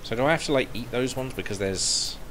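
A man's voice: mid-range voice, animated, fairly quick, fairly bright tone, a little hiss or hum. A man in his thirties chatting to himself as he plays a game, on a headset mic. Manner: chatty, talks to himself while playing. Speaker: streamer. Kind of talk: casual gameplay commentary. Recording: game music under the voice.

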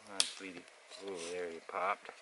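A man giving relaxed, hands-on instruction while working outdoors in a forest camp, with soft chuckles as he explains. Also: low-pitched, normal speed, noisy background. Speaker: canoe builder.

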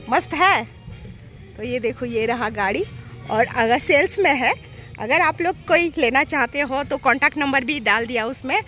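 A woman's voice: sits high, bright, forceful tone. Chatty woman vlogging on her phone at night. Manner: chatty, talks straight to viewers. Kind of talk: casual travel vlog chat. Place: outdoor cafe and street at night. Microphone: phone camera held at arm's length.

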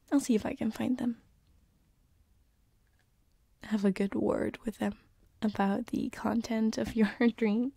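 Woman playing a breathy, whispering tender demon girl. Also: a little high, mellow, dry recording, exaggerated voice. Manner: intimate, hesitant, slow and soothing. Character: tender lovestruck female sleep paralysis demon, former succubus. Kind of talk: binaural ASMR roleplay. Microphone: close binaural microphone.

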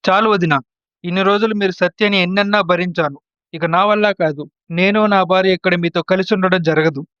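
A man's voice: high voice, steady tone, a little fast, a bit bright, clean tone, no room sound. A man playing a hot-headed, resentful younger son in a family story, in slightly muffled sound. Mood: angry, fed up. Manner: aggrieved, confrontational.

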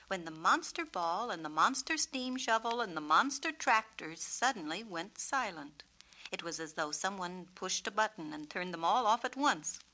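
A woman reading a children's storybook as narrator, with a measured, expressive delivery. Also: medium pitch, rough recording. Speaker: author and narrator.

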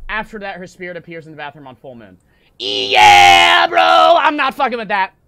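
A young man streaming a horror game, panicking, swearing and yelling in fright while reading notes aloud. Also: high-pitched, huge pitch swings, normal speed, gravelly, clear, resonant tone, no room sound. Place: small room at home. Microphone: desk mic on stand.

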